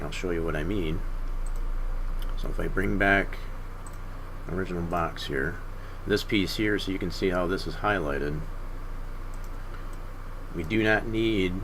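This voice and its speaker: A man streaming his 3D modeling work, talking through each step in an unhurried way with many fillers, hoarse and often clearing his throat. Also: sits low, fairly bright tone, a little hiss or hum. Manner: unhurried, filler-heavy thinking aloud. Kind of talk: casual screen-share tutorial.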